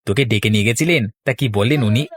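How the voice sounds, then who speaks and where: A man voicing an excitable cartoon village fellow. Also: medium pitch, racing, dry recording, clean studio sound, close to an everyday voice. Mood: doubtful. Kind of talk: Bengali cartoon voice acting.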